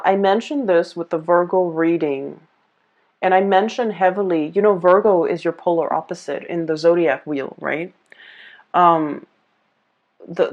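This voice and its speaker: A woman tarot reader speaking casually and off the cuff to viewers, often pausing and repeating herself as she searches for words. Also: fairly low pitch, muffled recording. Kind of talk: casual, improvised tarot reading addressed to viewers.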